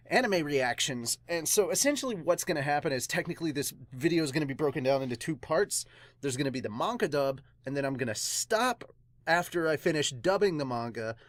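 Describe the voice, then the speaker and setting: Animated, excitable podcast host with a dry sound. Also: mid-range voice, dry recording. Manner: excitable, exclamatory. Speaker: host of a reaction and dubbing podcast. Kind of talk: casual vlog chat.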